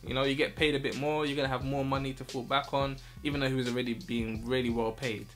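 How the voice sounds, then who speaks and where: A man's voice: mid-range voice, level tone, quick pace, fairly bright tone, soft background music. A man talking casually to camera as a vlogger, in a loose, chatty way, often checking in with his listener.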